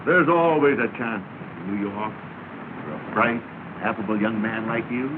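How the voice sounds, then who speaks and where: An American actor playing a genial, reassuring well-to-do family man in 1930s film style, measured and fatherly. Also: on the low side, lightly acted. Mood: kindly, encouraging. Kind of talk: early 1930s film acting. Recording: noisy, tinny 1930 film soundtrack.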